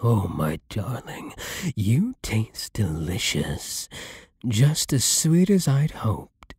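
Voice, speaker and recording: A man voicing a centuries-old, possessive vampire lord in an ASMR roleplay, silky and commanding with a breathy voice that often sinks into whispers. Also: lowish voice, sing-song, a little mellow, dry recording, clean studio sound, heavily acted. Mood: gloating. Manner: slow, theatrical, silky, commanding, condescending. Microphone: close studio microphone, dry.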